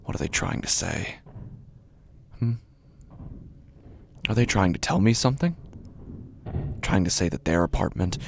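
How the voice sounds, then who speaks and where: A man performs an anxious, obsessive male narrator in a horror audio drama. The voice sits very low with a heavy creak, often breathy and close to a whisper. The delivery is intense and brooding, over faint background noise. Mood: resentful, agitated. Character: anxious, obsessive male apartment dweller fixated on a door.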